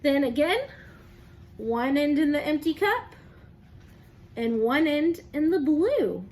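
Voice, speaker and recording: High-voiced woman teaching young children. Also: very animated, takes it slowly, darkish tone. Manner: encouraging, asks questions and praises listeners as if they answer; simple step-by-step explaining. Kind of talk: interactive children's lesson, talking to camera.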